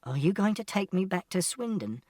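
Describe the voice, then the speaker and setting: A narrator voicing a literal-minded, anxious, flatly honest boy in an audiobook. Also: mid-range voice, moderate pace, no echo, studio quality, recorded from a distance, pitched above the performer's own voice, close to an everyday voice. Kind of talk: first-person audiobook narration.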